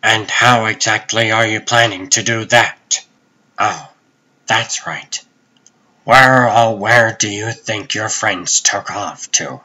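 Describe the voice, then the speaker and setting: A man's voice: lowish voice, slow, pushed and bright, full character voice. A man voicing a corrupted older police commissioner in a comic dub, mocking and menacing. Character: taunting, corrupted older male police commissioner turned villain.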